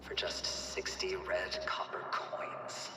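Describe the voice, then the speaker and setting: An actor playing a smug, manic young gambler villain in a video game, theatrical and taunting, moving between whispers and cries. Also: male voice, low-pitched, monotone, slow pace. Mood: bitter, proud. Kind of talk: dramatic video game voice acting. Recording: echoey, distant, music behind.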